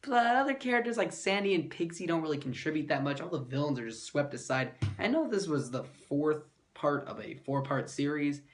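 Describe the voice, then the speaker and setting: A man's voice: a little high, lively intonation, brisk, few pauses. A man hosting a scripted comedic review, narrating in a sarcastic, mildly profane way. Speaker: comic book reviewer and host.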